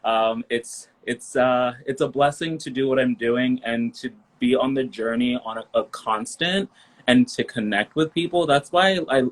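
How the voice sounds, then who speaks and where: A man in his thirties from Liberia speaks as an interview guest in a mid-pitched voice. He is upbeat and giggly, stringing his thoughts together with ums and likes. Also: moderate pace, poor audio. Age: 30s. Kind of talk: casual interview chat.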